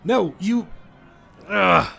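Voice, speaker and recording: A man performs a small alien hog laborer for an audio drama, with a high-sitting, very mobile voice. He is sly and grumbly, and music plays softly behind him. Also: lively intonation, extremely slow, gravelly, heavily acted. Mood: pleading.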